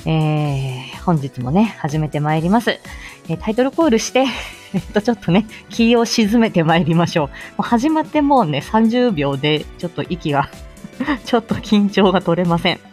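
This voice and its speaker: Female livestream host, animated pitch, flustered chatty casual delivery, faint music bed. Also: moderate pace. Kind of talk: casual morning live chat.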